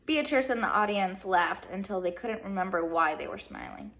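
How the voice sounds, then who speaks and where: A woman teacher reading a storybook aloud to children in an animated way, pausing to put questions to her young listeners. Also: mid-range voice, slight background noise. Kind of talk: expressive children's read-aloud, speaking to young pupils.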